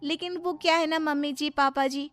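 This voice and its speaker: A woman's voice: high voice, fairly even intonation, normal speed, noisy background, lightly acted. A woman voicing a brash, cheeky, fashion-mad young daughter-in-law in a cartoon story, snappy and argumentative. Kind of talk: Hindi cartoon story dialogue.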